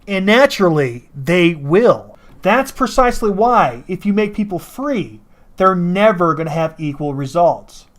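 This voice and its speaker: A man with a high-pitched voice gives casual reaction commentary. He is blunt and argumentative, swearing freely as he pushes his opinions. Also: lively intonation, a little slow.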